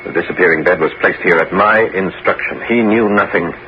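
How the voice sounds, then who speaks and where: An actor playing a scheming, calculating bishop in a 1970s radio drama, speaking in a measured, persuasive, theatrical way. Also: male voice, sits low, natural voice. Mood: urging. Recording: dull, noisy 1970s radio broadcast.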